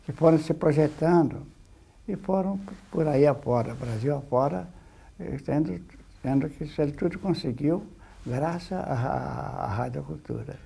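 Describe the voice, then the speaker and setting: An older man with a Brazilian accent reminiscing haltingly in an interview, often adding short tag questions, his voice husky and gravelly. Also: a little high, mellow.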